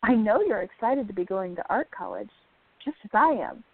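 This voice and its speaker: A woman playing an affectionate, sensible young art student in a radio drama, gently chiding as she talks. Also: medium pitch, animated, normal speed, no room sound. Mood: concerned. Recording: dry, thin phone-like band.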